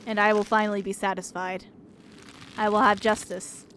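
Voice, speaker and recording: A woman's voice: medium pitch, little pitch movement, moderate pace, fairly bright tone, slight background noise, close to an everyday voice. A woman voicing a vengeful, mournful male ghost in a video game, speaking in grave, accusing pronouncements. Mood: stern, resolved. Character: vengeful, mournful male ghost demanding repayment of stolen years.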